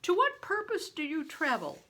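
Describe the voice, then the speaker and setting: A woman voicing a huge, shrewd, blustering medieval man in a storybook, booming and theatrical as he questions in archaic speech. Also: wildly swooping pitch, normal speed, sharp tone, full character voice. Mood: probing, sly.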